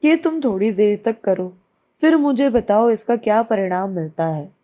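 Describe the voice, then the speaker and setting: A woman's voice: mid-range voice, animated, normal speed, warm tone, close to an everyday voice. A woman voicing a helpful, fussing shopkeeper uncle in a children's cartoon story, with a homely advising manner.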